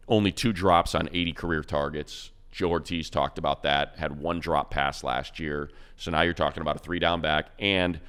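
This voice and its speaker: A man co-hosting a sports podcast, breaking down a player with quick, enthusiastic stats and slangy praise. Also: rumbling low, fairly bright tone, clear, resonant tone. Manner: fast, enthusiastic stat-heavy breakdown. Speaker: radio play-by-play announcer, co-host.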